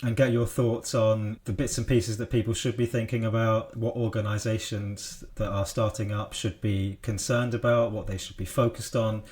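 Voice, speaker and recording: A man's voice: fairly low pitch, level tone, normal speed, few pauses, a little mellow. Friendly male interview host. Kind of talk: casual interview hosting.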